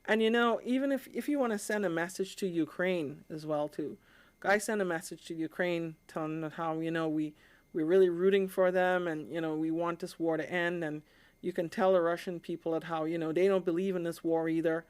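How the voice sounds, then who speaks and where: Woman, slightly low voice, livestream host, casual chat, informal, conversational phrasing. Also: fairly even intonation, a little fast, a little mellow, clean tone. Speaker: live stream host, amateur radio hobbyist.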